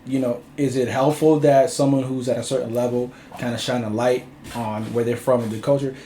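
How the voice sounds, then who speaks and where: A man's voice: medium pitch, flat delivery, fairly quick, dark tone. A man from Bridgeport, Connecticut, talking as a music producer guest in a laid-back, rambling interview, full of filler phrases. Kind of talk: casual interview chat. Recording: roomy echo, faint ambient noise.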